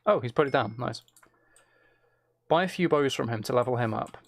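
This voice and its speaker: A man's voice: medium pitch, lively intonation, moderate pace, gravelly. A man live streaming, giving casual gameplay commentary and musing aloud as he chats to viewers.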